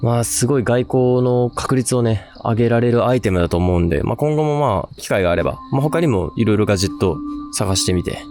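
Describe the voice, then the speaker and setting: A man's voice: medium pitch, animated, quick pace, few pauses, a little mellow, faint music behind. A man hosting a paranormal investigation on location, chatting casually and reacting to the place. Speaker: paranormal investigator and host in a video duo. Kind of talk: casual on-location ghost-hunting chat.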